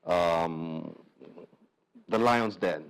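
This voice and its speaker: A man hosting a book interview, asking halting questions with restarts and short affirmations. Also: sits low, gravelly, smooth, poor audio. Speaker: talk show host.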